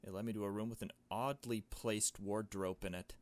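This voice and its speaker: Low-voiced man reading a game story aloud. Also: steady tone, normal speed, a little mellow. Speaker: let's player. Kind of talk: reading a visual novel aloud with casual asides.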